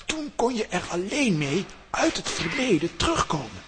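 An actor playing an irritable but helpful male professor in a children's radio play, brisk and lecturing. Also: sits high, big pitch swings. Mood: irritated, instructive. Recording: clearly noisy recording with clicks.

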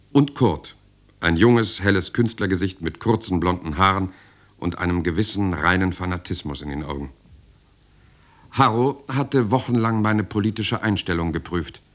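Actor doing a deep voiced serious writer telling his resistance story, measured radio drama narration. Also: natural voice. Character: sober German writer recounting his resistance years.

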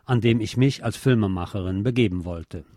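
A man's voice: on the low side, fairly quick, warm tone, dry recording, studio quality, faint music behind. A man hosting a radio show, reading a scripted review in a formal manner and addressing his listeners directly.

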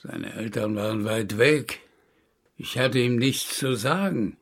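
An actor playing an evasive baker and landlord who guards his respectability in a German radio drama, hesitant, grumbling and gravelly. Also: mid-range voice, slow pace. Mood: reluctant, uneasy. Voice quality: gravelly, husky.

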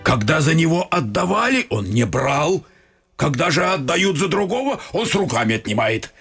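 A man's voice: fairly high pitch, big pitch swings, normal speed, a bit bright, husky, soft background music, heavily acted. An actor playing an older, folksy, grumbling godfather in a period comedy radio play, full of sighs, hums and familiar asides. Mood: bemused. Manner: folksy, keeps saying "brother", sighs and hums. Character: older godfather, folksy, grumbling, unhurried old-fashioned gentleman.

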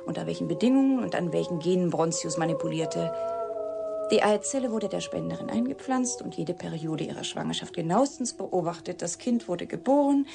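An actress playing an embittered, secretive woman scientist in a German radio drama, deliberate and intense in delivery. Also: on the low side, lively intonation, gravelly, music in the background. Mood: controlled, confiding. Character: embittered woman scientist, secretive product of her father's experiment.

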